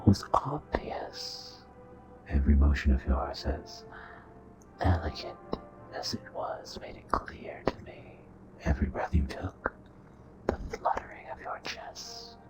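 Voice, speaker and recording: An ancient, aristocratic vampire played by a man speaks in an extremely low voice that often sinks into a breathy whisper. He is courtly, seductive and possessive, in the intimate style of a romantic audio roleplay. Music plays clearly behind him. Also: muffled recording, full character voice. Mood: low, predatory. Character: charming, possessive, ancient male royal vampire, courtly flirt. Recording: soft voice over background music.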